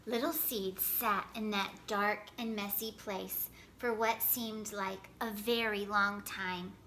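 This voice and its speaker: A woman storyteller reading a children's picture book aloud, expressive and careful in her phrasing. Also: medium pitch, slow, slight background noise. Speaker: storyteller, host.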